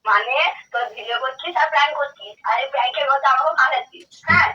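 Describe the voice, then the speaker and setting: A woman's voice: sits high, rapid-fire, sharp tone, a little hiss or hum. A young woman chatting casually over the phone, questioning and insistent, often repeating herself. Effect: telephone. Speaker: prank call recipient. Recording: dull distant phone line.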